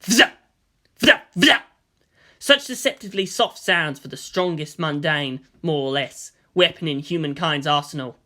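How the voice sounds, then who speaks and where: A man's voice: a little high, measured pace, pushed and bright. A man reading action fiction aloud as a dramatic, emphatic audiobook-style narrator. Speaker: story narrator.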